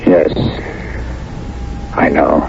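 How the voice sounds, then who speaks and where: An actor in a 1970s radio drama playing a troubled, haunted war veteran, wary, defiant and halting. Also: male voice, extremely low pitch, level tone, gravelly, full character voice. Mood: agitated, cornered. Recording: dull, hissy old radio recording.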